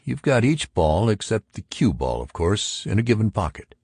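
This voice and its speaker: A man's voice: on the low side, big pitch swings, normal speed, darkish tone, breathy edge, no room sound, clean studio sound, voice pushed up, exaggerated voice. A man voicing an argumentative intellectual who expounds theories to friends in an audiobook. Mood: emphatic, explaining. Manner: emphatic, pedantic. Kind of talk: audiobook character dialogue.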